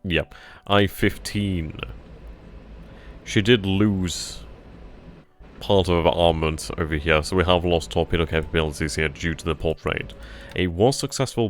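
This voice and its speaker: A man hosting a strategy game stream, thinking aloud over his moves and casually answering chat questions. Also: very deep voice. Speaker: gaming channel host. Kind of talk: live strategy game commentary.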